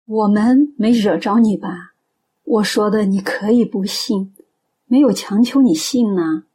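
An actress playing a gentle, middle-aged believer in a radio drama, earnestly trying to persuade. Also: female voice, mid-range voice, measured pace, breathy edge. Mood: mild protest.

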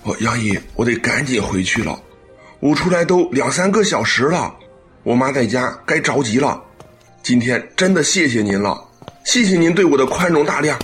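A man's voice: medium pitch, animated, moderate pace, over music, natural voice. An actor playing a polite, grateful and flustered young man in a radio drama. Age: young adult.

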